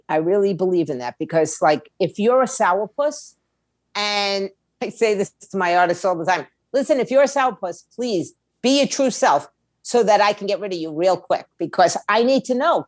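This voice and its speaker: Middle-aged female podcast guest, mid-range pitch. Straight-talking, emphatic, street-smart advice, very dry sound. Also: animated, moderate pace, no echo. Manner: blunt, streetwise, emphatic with rhetorical questions. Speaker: podcast guest, music attorney and indie label owner. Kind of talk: conversational advice in an interview.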